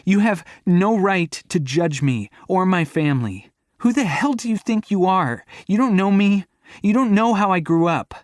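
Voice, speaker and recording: A man's voice: mid-range voice, slow pace, pauses often, husky, no room sound, clean studio sound, voice pushed up. A man voicing a furious young woman. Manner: shouting, voice cracking.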